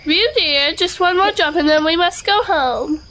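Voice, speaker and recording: A woman's voice: very high-pitched, moderate pace, bright, forceful tone, slight background noise, low-quality recording. A woman playing a doting, politely dismissive mother kangaroo in a children's storybook audio drama. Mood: polite, motherly.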